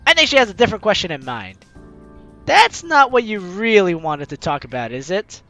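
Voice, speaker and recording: A bright, forceful voice hosting a game playthrough, reading story text aloud with theatrical flair. The pitch swings around in a lively way and the commentary is playful. Soft game music plays behind. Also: moderate pace, dry recording. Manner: animated, theatrical, playfully exclaiming. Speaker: gaming video host reading a visual novel aloud.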